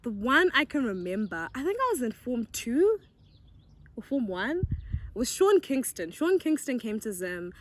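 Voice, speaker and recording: A woman's voice: fairly high pitch, very animated, unhurried. A young woman with a Zimbabwean accent, chatting casually and answering viewer questions, bubbly and giggly. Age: young adult. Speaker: YouTuber. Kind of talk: casual get-to-know-me chat.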